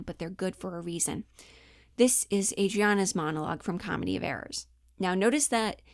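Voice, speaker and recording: A woman's voice: medium pitch, no room sound, studio quality. A woman giving a casual, explanatory acting lesson, chatty and informal, often thinking aloud as she goes. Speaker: acting coach.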